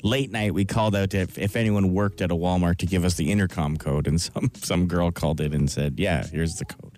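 A man's voice: sits low, steady tone, a little fast, a little mellow. A middle-aged man, chatting and telling anecdotes casually as a radio host.

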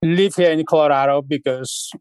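Middle-aged guy from nepal, halting, fillers everywhere, dead dry sound. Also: on the high side, steady tone, a little mellow, clean tone, no room sound. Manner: unhurried, halting, with many fillers. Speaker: guest, restaurant founder. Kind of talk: casual interview storytelling.

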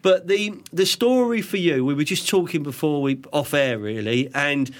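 Middle-aged man, podcast host, rambling chatty delivery, fairly high pitch. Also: normal speed. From England. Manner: chatty, rambling, many restarts. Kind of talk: casual chat. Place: studio.

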